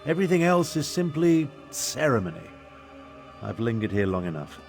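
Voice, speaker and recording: A man's voice: mid-range voice, very animated, normal speed, soft background music. A man playing a haughty, curmudgeonly older battle mage in a fantasy game, with dry and pompous delivery. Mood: grumpy, dismissive. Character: crotchety, haughty aging human battle mage. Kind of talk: video game character dialogue.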